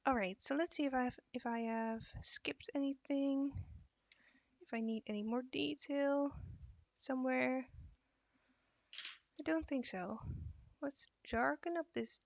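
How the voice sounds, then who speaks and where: A young Dutch woman with a fairly high, gravelly voice chats tiredly while she draws on a live stream. The sound is dry and a little muffled. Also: unhurried, pauses often, no echo. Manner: relaxed, reads and answers chat questions while drawing. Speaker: art teacher streaming live. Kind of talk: live drawing stream with chat.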